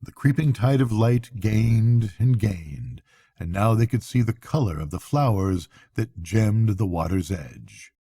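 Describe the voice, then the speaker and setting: A man's voice: fairly low pitch, expressive, a little slow, warm tone, breathy edge, dry recording, clean studio sound. An older man narrating a classic storybook as bedtime reading.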